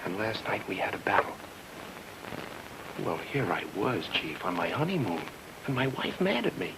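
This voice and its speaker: Animated newlywed private eye, radio noir. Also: male voice, lowish voice, normal speed, creaky, noisy background. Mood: flustered pleading. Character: fast-talking newlywed private detective, flustered yet wisecracking. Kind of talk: 1940s radio noir acting. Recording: old radio drama, music underneath.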